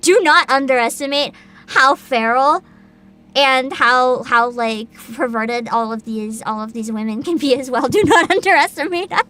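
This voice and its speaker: A woman chatting casually as a livestream host, excitable and giggly, with dramatic emphasis. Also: high-pitched, moderate pace.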